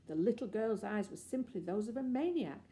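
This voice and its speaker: A woman's voice: mid-range voice, lively intonation, normal speed, warm tone, slight background noise, natural voice. A woman voicing a nervous, genteel lady who sounds alarmed, in a storybook reading. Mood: shocked.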